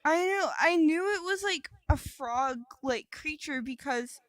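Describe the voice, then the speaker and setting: A woman's voice: high-pitched, animated, unhurried, fairly bright tone, no echo. A woman co-hosting a comedy podcast with casual, crude, irreverent banter and free swearing. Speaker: podcast co-host.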